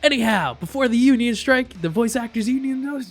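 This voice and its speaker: A man's voice: very high voice, animated, a little fast, soft background music. A man hosting a reaction video, talking in a casual, rambling way with an irreverent, profane, jokey manner.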